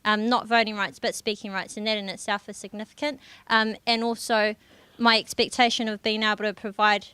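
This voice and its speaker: A woman's voice: mid-range voice, flat delivery, brisk, pushed and bright, clean tone, no echo. A young woman, speaking earnestly as a councillor on a conference panel. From New Zealand. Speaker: district councillor with youth portfolio. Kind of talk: panel discussion.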